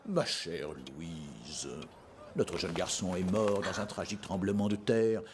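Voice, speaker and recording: A man playing a sceptical, stuffy gentleman husband in a French cartoon, with formal wording. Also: low voice, slow, background noise. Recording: music behind the voice.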